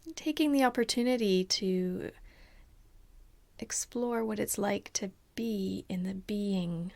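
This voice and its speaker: A woman meditation teacher leading a guided body scan in a calm, soothing, unhurried way, with a soft, breathy voice that often trails toward a whisper. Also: medium pitch.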